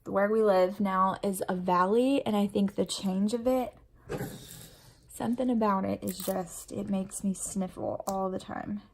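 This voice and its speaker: Sniffly woman with a gravelly edge. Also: mid-range voice, fairly even intonation, a little slow, a little mellow, low-quality recording. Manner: rambling and chatty, full of fillers. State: sniffly, runny nose. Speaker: planner vlogger and mom. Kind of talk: casual chat while decorating a planner.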